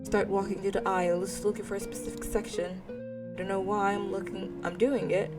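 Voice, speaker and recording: A woman's voice: mid-range voice, little pitch movement, moderate pace, over loud music. A woman narrating a visual novel in a let's play, reading expressively and dropping in casual side remarks. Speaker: gaming video creator reading a visual novel aloud.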